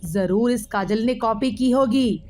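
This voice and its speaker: A woman voicing a spiteful middle-aged aunt who scolds and bosses in a sharp manner, in a moral story. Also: on the high side, unhurried, lightly acted. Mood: indignant, spiteful.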